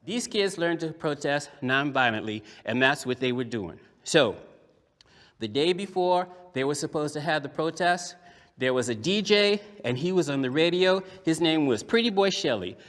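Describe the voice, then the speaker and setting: A man voicing a lively, cheeky young boy puppet, telling a story to children in an emphatic, conversational theatrical style with deliberate pauses. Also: fairly high pitch. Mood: earnest. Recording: echoey hall, long reverb.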